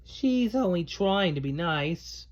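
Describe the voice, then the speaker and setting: Gentle storybook mother, read by a man, reassuring, expressive and unhurried, on a slightly muffled recording. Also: sits high.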